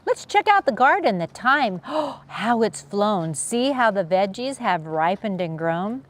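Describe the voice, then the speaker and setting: Female children's read-aloud host: unhurried, lively pitch swings, sing-song and playful. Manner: expressive sing-song rhyming delivery with playful sound effects. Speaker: children's story reader, channel host.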